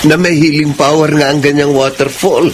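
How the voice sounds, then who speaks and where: Radio drama actor as easygoing, grumbling Filipino dad, middle-aged, slightly high, trickling water behind. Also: male voice, fairly even intonation, moderate pace, background noise, lightly acted. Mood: curious. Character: easygoing, complaining father of grown children.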